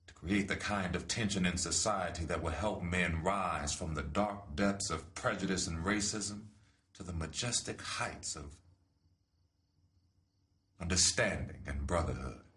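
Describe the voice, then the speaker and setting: Deep voiced guy reading a letter like a speech, serious, deliberate, stresses the big words. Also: fairly bright tone. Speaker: reader of a letter. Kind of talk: solemn oratorical reading.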